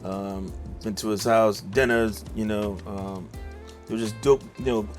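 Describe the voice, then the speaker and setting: A man's voice: fairly low pitch, steady tone, measured pace, soft background music. A middle-aged man, a songwriter talking casually in an interview with many fillers and restarts. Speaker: songwriter guest.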